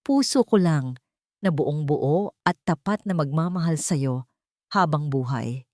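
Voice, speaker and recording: A woman narrator plays an earnest young man in love from a romantic audio story. The delivery is tender, pleading and sincere, in a low register with a very dry, close sound. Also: animated, measured pace, darkish tone, no echo.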